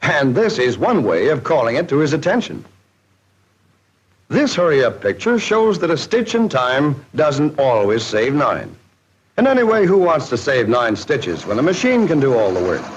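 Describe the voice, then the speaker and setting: Lively 1940s American film narrator, man. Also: medium pitch, moderate pace, slightly rough. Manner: jocular, folksy showman. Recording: dull, band-limited 1940s film soundtrack.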